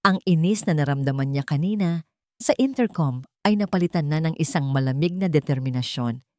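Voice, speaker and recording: A woman reads a dramatic romance story as its narrator. Her voice sits on the low side for a woman, and the recording is dry and close. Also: animated, fairly quick, dark tone, dry recording.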